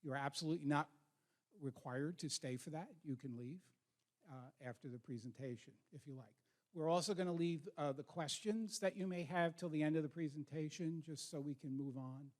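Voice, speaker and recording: A man presenting as a school counselor, informal but direct and instructive as he addresses students and parents. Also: a little high. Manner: direct, instructive, questions the audience. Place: school meeting with an audience.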